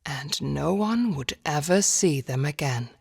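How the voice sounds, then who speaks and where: A woman narrating a dark fairy tale for a game in a measured, solemn storybook manner. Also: deep voice, expressive, vocal fry, breathy edge, heavily acted. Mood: solemn, grave. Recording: long reverberant hall echo.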